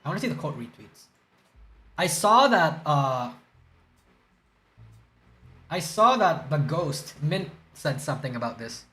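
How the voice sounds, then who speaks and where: A man's voice: on the high side, very animated, a little slow, pauses often, bright, forceful tone, faint music behind. A young man streaming live, rambling and swearing as he reacts to news, groggy from a hangover and stuttering. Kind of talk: casual livestream chat reacting to news.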